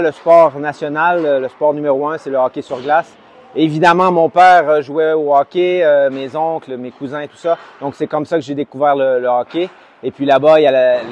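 Brief: Canadian French man, 40s, slightly high voice, lively and emphatic, lots of pauses. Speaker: hockey player, team captain. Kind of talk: interview answers.

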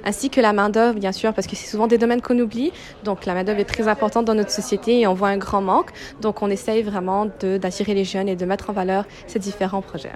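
This is a young woman, speaking as a youth organization representative. She gives explanatory answers in an interview, at a middling pitch for a woman. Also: level tone, fast, few pauses, darkish tone. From Quebec, Canada.

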